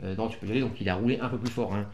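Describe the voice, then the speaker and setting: A man's voice: fairly low pitch, steady tone, very fast, a little mellow, slight background noise. Bossy, joking man in an echoey room. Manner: bossy, teasing, coarse joking. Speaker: vlog host. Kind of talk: casual motorcycle gear unboxing chat to camera. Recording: echoey room with music behind.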